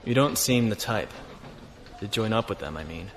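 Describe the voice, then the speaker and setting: A man's voice: lowish voice, expressive, normal speed, fairly bright tone, a little hiss or hum. A man playing an easygoing fugitive starship captain in a science fiction audio drama, with a casual, understated delivery. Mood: wry, gentle. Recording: music bed behind the voice.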